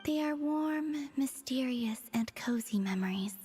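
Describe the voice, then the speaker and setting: A gentle young woman from the moon, speaks in a soft, breathy and slightly high voice. She is wistful and measured, with a quiet deadpan edge. It is a video game character heard over music. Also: big-room sound, full character voice.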